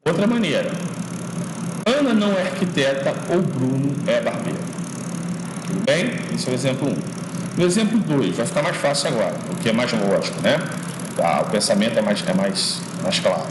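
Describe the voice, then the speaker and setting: A man's voice: medium pitch, animated. A male teacher giving an informal logic lesson, often checking in with "né?" and restarting his sentences as he thinks aloud. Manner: explaining slowly, frequent checks like "né?" and "tá?"; restarts and repeats words while thinking aloud. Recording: echoey classroom from far off with street noise.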